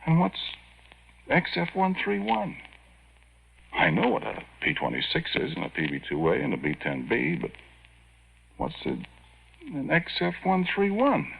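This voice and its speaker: A man's voice: on the high side, huge pitch swings, a little slow, creaky, long pauses, husky, exaggerated voice. A man acting a curious, plainspoken army sergeant in a 1950s radio drama, telling his story in a folksy, colloquial way. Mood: excited, puzzled. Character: curious, star-struck young army airman, plainspoken sergeant. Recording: muffled old radio recording.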